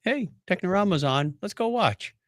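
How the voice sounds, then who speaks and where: Casual male podcast host with lively delivery. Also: sits high, moderate pace, dry recording, studio quality.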